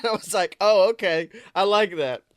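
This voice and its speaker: Guy podcast co-host, high bright voice, animated rambly movie chat, swears, dry sound. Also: normal speed, no echo. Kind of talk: casual excited chat about films.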